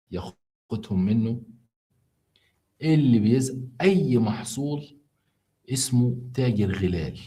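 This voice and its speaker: A tired man with an Egyptian Arabic accent tells a true story to viewers on a live stream, chatty and full of halting restarts as he explains things along the way. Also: on the low side, mellow.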